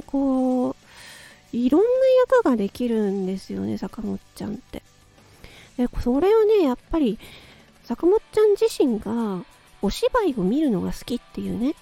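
High-voiced woman hosting a chatty solo show. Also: very animated, normal speed, creaky, mellow, soft background music. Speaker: host of a personal audio show. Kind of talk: chatty solo audio broadcast, birthday tribute.

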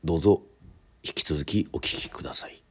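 芝居がかったラジオドラマの語り口で、あらたまった口上のように話す男性のナレーター。. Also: male voice, a bass voice, heavy background noise. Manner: mock-formal, drawn-out announcer phrasing. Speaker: narrator, storyteller. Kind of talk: theatrical radio drama narration.

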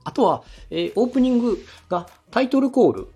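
A man hosting a podcast, politely and methodically giving encouraging production advice in a slightly husky voice. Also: very high voice, very animated, fast talker, warm tone. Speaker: podcast host and radio director giving feedback.

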